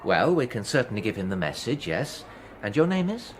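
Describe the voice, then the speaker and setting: An actor playing a deferential, obliging hotel attendant in a radio drama, speaking politely and formally. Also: male voice, on the low side, very animated, normal speed, a little hiss or hum. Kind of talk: naturalistic radio drama acting.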